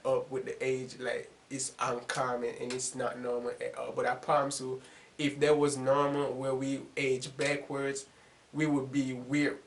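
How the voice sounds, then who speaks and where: A man's voice: medium pitch, slight background noise. A man of twenty-one chatting casually in a rambling, slangy, profane and self-deprecating monologue, laughing often. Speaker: YouTuber making reaction videos.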